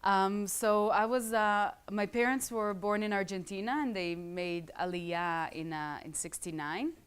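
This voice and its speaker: A woman's voice: mid-range voice, measured pace, smooth. A woman in her thirties with an Israeli accent, a startup founder giving a casual, joking talk to a live audience of students. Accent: Israeli, with some English schooling. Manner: chatty, joking asides to the audience.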